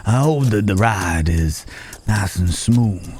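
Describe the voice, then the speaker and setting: A man playing a rugged, wandering cowboy stranger in an intimate ASMR roleplay, with folksy old-West phrasing, a low gravelly voice and hesitant pauses, gently teasing and caring. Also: lively intonation, slight background noise. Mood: gentle, reassuring. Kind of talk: ASMR roleplay, intimate acted monologue to the listener.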